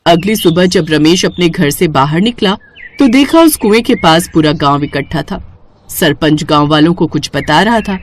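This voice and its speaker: A woman's voice: on the low side, animated, moderate pace, slight background noise. A woman narrating a scripted moral story for children.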